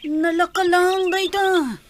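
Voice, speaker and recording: Casting: actress as cocky young henchman, very high voice, slow delivery, radio drama, birds chirping faintly. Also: fairly even intonation, a little hiss or hum. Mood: eager, confident. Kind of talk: Ilocano radio drama acting.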